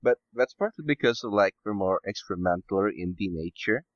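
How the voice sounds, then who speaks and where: A man with a fairly low voice talks casually as a podcast guest. He is tentative and halting, hedging his points. The sound is dull and very dry. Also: expressive, no echo.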